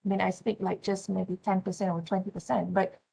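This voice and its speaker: A woman's voice: mid-range voice, little pitch movement, brisk, vocal fry, dark tone, breathy edge. A woman with a Malaysian accent, Malay her first language, lectures students in English. She talks through her points with frequent "uh"s and keeps asking "right?" and "yeah?" to check that the class follows. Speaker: university lecturer.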